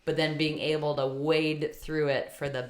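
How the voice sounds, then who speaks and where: A woman in her late thirties co-hosting a podcast in casual chat, with lots of fillers and quick agreement. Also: low voice, little pitch movement. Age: 30s. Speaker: podcast co-host.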